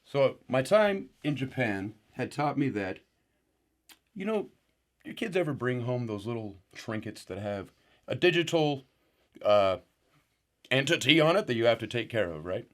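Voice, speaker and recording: An actor playing a rambling paranoid uncle who is a guest on a conspiracy show, with sudden excitable outbursts. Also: male voice, medium pitch, a little slow, long pauses. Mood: rambling indignant. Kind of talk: deadpan parody talk show.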